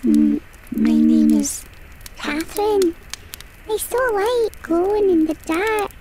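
A woman playing a dreamy, eerie female spirit in an audio horror drama, halting and wondering as she talks. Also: very high-pitched, animated, dark tone, a little hiss or hum, full character voice, rough recording.

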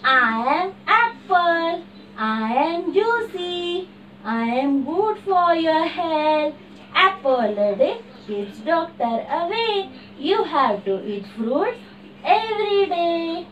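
A woman voicing an apple puppet in a bright, preachy way. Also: very high-pitched, big pitch swings, takes it slowly, a little hiss or hum, exaggerated voice. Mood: cheerful. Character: cheerful wholesome apple puppet. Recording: distant room mic.

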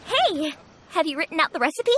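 An actress playing a bubbly, friendly young restaurant owner in a video game, upbeat and enthusiastic. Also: female voice, high-pitched, very animated, brisk, heavy background noise. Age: young adult. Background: game music.

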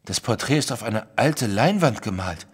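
An actor playing a proud, stubborn gentleman art collector, huffy and emphatic, in a German audio drama. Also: on the low side, animated, normal speed, husky, in a large hall. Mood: smug. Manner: huffy, emphatic, self-satisfied.

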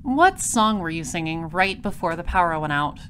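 A woman playing a shrewd, dry space patrol detective in an audio drama, explaining things deliberately. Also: lowish voice, very animated, fairly bright tone, a little hiss or hum. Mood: dry, sardonic.

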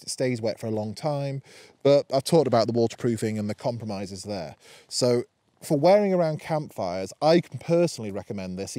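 A British man giving an informal gear review to camera in a measured, explanatory way. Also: mid-range voice, mellow, no echo. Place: outdoors in damp woodland, under a tarp and by a campfire.

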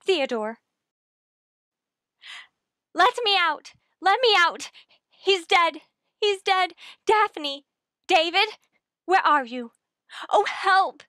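A woman's voice: very high voice, lively intonation, drawn-out words, long pauses, sharp tone, no echo, clean studio sound, full character voice. A woman playing a frightened, haunted genteel heroine in an old-time radio suspense play, with an earnest, dramatic delivery. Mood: panicked.

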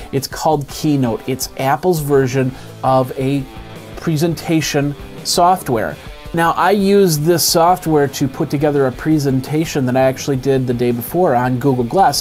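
A man in his forties presents a software walkthrough in an informal, conversational style. His voice sits at a medium pitch. He explains each step casually, recorded on a lapel mic indoors with faint music. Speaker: host of an app review web show. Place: small indoor home studio.

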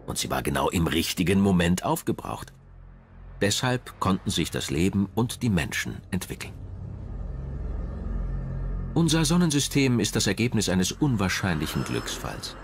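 Deep, lively male documentary narrator. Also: normal speed, a little hiss or hum.

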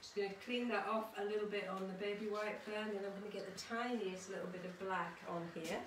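A woman's voice: mid-range voice, steady tone, fairly quick. Middle-aged woman, casual children's workshop demo, encouraging, chatty, echoey small studio, slight background noise. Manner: encouraging, chatty, checking in with listeners using tag questions. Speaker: artist leading a children's art workshop. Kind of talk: casual demonstration tutorial for children. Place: small conservatory-style home studio. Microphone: camera or phone a short distance away. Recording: roomy, distant mic, some background noise.

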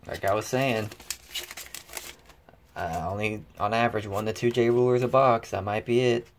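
A man's voice: on the low side, fairly even intonation, moderate pace, a little hiss or hum. A man giving casual unboxing commentary in short, muttered, fragmentary remarks. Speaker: card pack opening host. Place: indoors at a table covered with cards.

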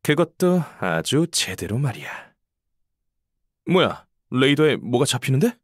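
Casting: cocky young female battle announcer in a game, animated delivery, informal blunt banter, dry studio recording. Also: extremely low pitch, a little slow, breathy edge, no room sound, full character voice. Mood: alert. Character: cocky, casual young military operations announcer. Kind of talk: scripted game voice acting, battle commentary.

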